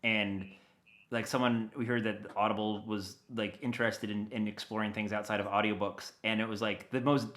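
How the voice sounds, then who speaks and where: A middle-aged man chatting casually as an interview guest, telling anecdotes in an animated, informal, loosely rambling way. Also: fairly low pitch, moderate pace, roomy sound. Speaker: guest, audio dramatist and producer.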